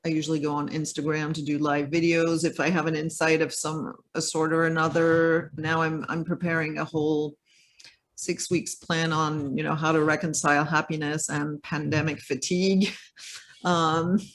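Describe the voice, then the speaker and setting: A man's voice: fairly high pitch, flat delivery, moderate pace, a bit bright, a little hiss or hum. An interview guest talking casually from his home, hesitant, with many fillers and restarts. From Belgium or United States. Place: home in a French village.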